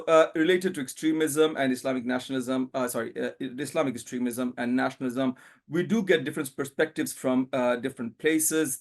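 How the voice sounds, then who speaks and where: Guy presenting on a video call, mid pitch, lots of uh and you know. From Bangladesh. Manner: thoughtful, hedging with frequent fillers. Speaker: panel speaker, academic. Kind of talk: conference presentation.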